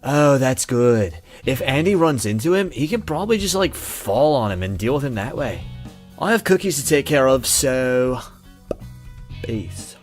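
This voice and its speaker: An actor voicing a laid-back, spacey young party dude who talks in slangy, unbothered fashion in a visual novel. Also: male voice, medium pitch, very animated, a little slow, faint music behind.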